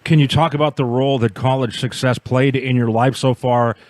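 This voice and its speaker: A man hosting a scholarship program's interview, asking questions in a measured, politely probing way. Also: mid-range voice, steady tone, a little mellow.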